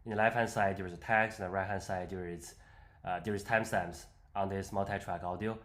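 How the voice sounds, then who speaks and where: A man presenting an unscripted software walkthrough, explaining step by step in a hesitant way. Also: sits low, fairly even intonation, fairly quick. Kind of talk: unscripted screen-demo walkthrough.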